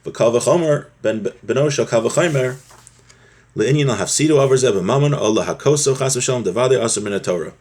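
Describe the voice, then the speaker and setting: A man's voice: mid-range voice, a little fast, a little mellow. A man giving an informal religious text lesson as a teacher, reading and explaining in a conversational way. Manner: conversational, explanatory, acts out short examples. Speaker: Torah teacher.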